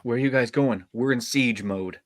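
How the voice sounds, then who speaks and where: A man's voice: mid-range voice, moderate pace, dry recording, studio quality, close to an everyday voice. A man acting an earnest, awkward, hopeful young male camp counselor in a horror script table read. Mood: determined.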